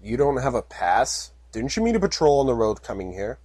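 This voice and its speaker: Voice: medium pitch, sing-song, fairly quick, darkish tone, no echo. Reader voicing a burly, skeptical unicorn guard for an audiobook; close, dry recording. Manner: dry, skeptical, matter-of-fact.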